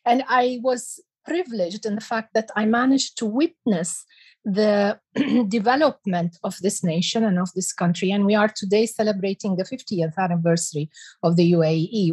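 A woman in her sixties from Iraq, giving slow, measured answers as an interview guest. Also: mid-range voice, a little mellow, slightly rough. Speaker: guest, heritage expert.

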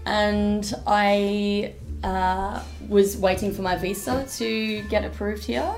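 A woman's voice: medium pitch, fairly even intonation, takes it slowly, soft background music, low-quality recording. An Australian woman telling stories in a record store café, chatty and laughing often. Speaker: guest, café owner's wife. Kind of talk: casual storytelling chat.

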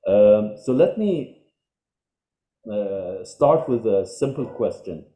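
Dark-voiced man hosting, halting speech. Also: lowish voice, sing-song, reverberant. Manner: halting, many fillers and repeats. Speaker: podcast host. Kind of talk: casual interview.

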